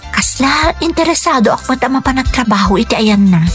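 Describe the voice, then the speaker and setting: A woman's voice: sits high, expressive, a little fast. A woman playing an earnest, determined young daughter in a Philippine radio drama, speaking persuasively over music. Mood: pleading, earnest.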